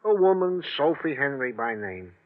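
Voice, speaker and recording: An actor playing a wordy, sentimental police detective with florid, oddly poetic phrasing in an old radio drama. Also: male voice, mid-range voice, very animated, moderate pace, close to an everyday voice. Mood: dutiful reporting. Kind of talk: old-time radio acting. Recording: tinny, band-limited vintage radio recording.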